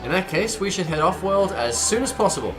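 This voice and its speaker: A man acting a cavalier, wry smuggler pilot in an audio drama, flippant and expressive in his delivery. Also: high voice, fairly quick, over music. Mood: wary, restless.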